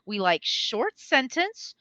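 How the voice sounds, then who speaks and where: A woman of forty, an English tutor from the United States, chatting excitedly with a student and laughing often. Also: a little high, slow, no echo, highs cut off. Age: 40s. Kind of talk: online lesson conversation. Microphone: video call.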